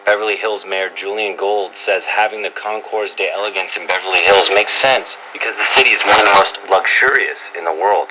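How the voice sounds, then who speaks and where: A man's voice: fairly low pitch, brisk, hardly any pauses. A man reading a scripted radio feature as a reporter, heard over shortwave radio. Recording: noisy shortwave radio reception with hum. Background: static and hum.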